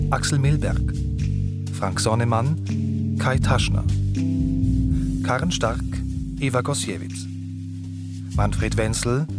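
A man reading a scripted credits announcement for a radio drama, with formal, separated phrases. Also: mid-range voice. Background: loud plucked guitar music.

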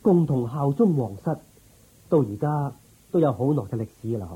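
A man's voice: medium pitch, expressive, normal speed, warm tone, breathy edge, highs cut off. A man playing a loyal, reverent royal minister in a Cantonese radio drama, formal and deferential in his speech. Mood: confiding.

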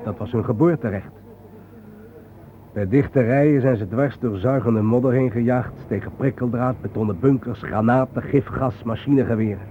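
An actor playing a solemn, reflective journalist in a radio play, speaking in grave, deliberate, philosophical phrases. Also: male voice, mid-range voice, close to an everyday voice. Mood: bitter. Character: solemn, reflective male journalist haunted by war dead. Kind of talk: radio drama acting. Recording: thin phone-like old radio recording, faint noise.

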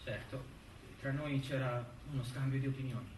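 A man's voice: mid-range voice, fairly even intonation, moderate pace, a little mellow, lightly acted. An actor playing a defensive, stubborn young man giving guarded, terse answers as a witness in a courtroom drama. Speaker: stage actor. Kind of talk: theatrical courtroom drama acting. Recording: roomy, distant stage recording with faint noise.

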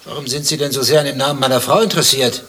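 Actor as jealous husband, bright forceful voice. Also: male voice, medium pitch, steady tone, racing, a little hiss or hum, natural voice. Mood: probing, suspicious. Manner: insistent, indignant, pedantic questioning. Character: jealous, suspicious husband, a dentist, interrogating his rival. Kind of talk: German satirical radio play acting.

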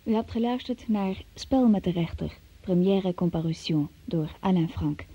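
A woman's voice: lowish voice, moderate pace, dark tone, breathy edge. A woman announcer reading scripted closing credits for a radio play. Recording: dull, muffled old radio recording.

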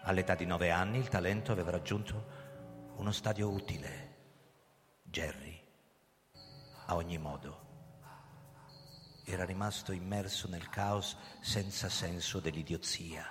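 Low-voiced, driven hunted police chief. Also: male voice, steady tone, measured pace, long pauses. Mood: hushed, grave. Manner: theatrical, swinging from hushed narration to agitated outbursts. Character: hunted middle-aged police chief, driven and desperate, narrating his story. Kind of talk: dramatic radio play narration and acting. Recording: long hall reverb, music underneath. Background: music.

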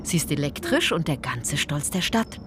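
A woman's voice: sits low, very animated, normal speed, noisy background. An actress playing an indignant, principled woman in a radio play, narrating in an articulate, insistent, pointed way. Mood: wry, pointed. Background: music.

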